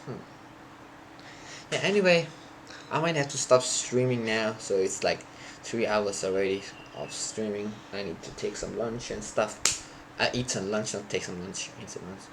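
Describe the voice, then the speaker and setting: A man's voice: on the low side, slight background noise. Rambling young man streaming code. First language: Thai. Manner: rambling, halting, restarts and searches for words. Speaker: programming live streamer. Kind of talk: casual stream chat to viewers.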